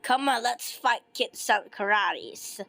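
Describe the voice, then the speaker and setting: A woman voicing a fan-made cartoon in an excitable, shouting manner. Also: fairly high pitch, slow pace. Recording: loud music under voice.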